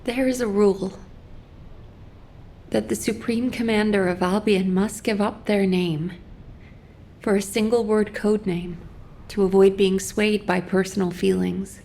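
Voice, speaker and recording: A composed young woman agent in mourning, speaking slowly and quietly. Her tone is mellow and soft. A faint rumble runs behind her. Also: gravelly. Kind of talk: video game cutscene dialogue.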